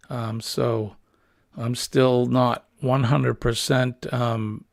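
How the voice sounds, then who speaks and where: Man, livestream host; husky, smoky, a bit gravelly; easygoing and rambling with many fillers; dry, close computer-mic sound. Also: mid-range voice, dry recording. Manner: relaxed, rambling, frequent ums and uhs. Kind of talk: casual livestream chat reading viewer comments. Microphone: built-in computer webcam mic.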